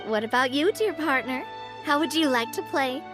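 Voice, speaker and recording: A bubbly, self-assured young adventuress in a video game, with a high voice. She is playful and breezy, swinging widely in pitch over soft music. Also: animated, moderate pace. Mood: enthusiastic. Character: bubbly, confident, adventurous young lady gamer.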